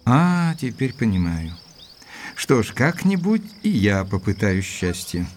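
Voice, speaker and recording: An actor playing a reserved, sceptical gentleman investigator who tells his story in a measured way in an audio drama. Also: fairly low pitch, sing-song, soft background music. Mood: curious. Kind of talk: dramatised first-person narration and dialogue.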